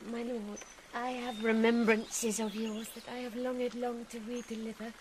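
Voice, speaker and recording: Actress as wounded young noblewoman, earnest, formal and courteous, Shakespearean radio drama, fairly high voice, clear background noise. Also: flat delivery, unhurried, darkish tone, lightly acted.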